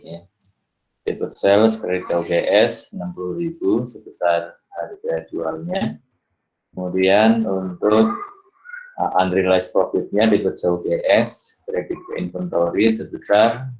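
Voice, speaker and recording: A middle-aged man with a very deep voice works through exam problems for his students. He moves unhurriedly, tagging his phrases with "ya" and stopping for "eh". The recording sounds dull and muffled. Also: darkish tone. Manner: unhurried, fills pauses with "ya" and "eh". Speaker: accounting lecturer.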